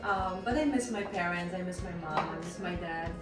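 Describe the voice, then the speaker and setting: A woman's voice: fairly low pitch, normal speed. A woman from Poland with a Polish accent hosting a casual kitchen chat and asking questions. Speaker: host. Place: indoor kitchen with chopping and clattering dishes. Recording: roomy kitchen, distant mic, music underneath.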